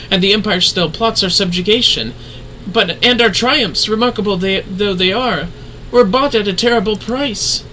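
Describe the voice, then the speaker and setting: A man's voice: sits high, sharp tone, a little hiss or hum, low-quality recording. A man voicing a refined, earnest woman leader in a fantasy game, speaking in formal, solemn, archaic phrasing.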